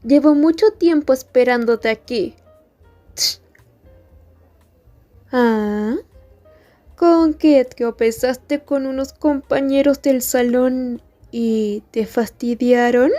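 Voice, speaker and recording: Woman acting a high-voiced, slow-talking bully schoolgirl. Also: warm tone, soft background music. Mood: scornful, bored. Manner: taunting, scornful, drawn-out delivery. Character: mocking high school bully girl, haughty and mean. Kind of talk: Spanish ASMR roleplay acting.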